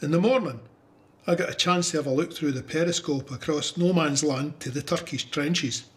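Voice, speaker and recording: A man's voice: fairly high pitch, normal speed, fairly bright tone, lightly acted. A man playing a young Scottish soldier who recounts trench warfare in a candid, wry, conversational way for an audio drama. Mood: uneasy, reflective. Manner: conversational storytelling. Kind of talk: first-person audio drama narration.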